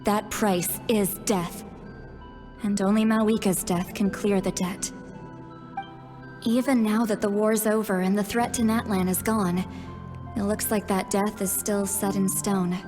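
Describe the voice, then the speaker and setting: A serious woman of a fantasy game, played by an actress, with a medium-pitched voice in long reverb. She speaks deliberately over faint music. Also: little pitch movement, a little mellow, natural voice. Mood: grave.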